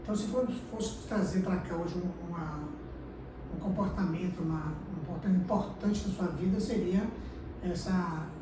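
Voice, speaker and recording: Woman interviewer, low voice, far from the mic, noisy echoey room. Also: moderate pace. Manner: affirming, many "sim, sim" backchannels. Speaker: interviewer, host. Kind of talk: interview hosting.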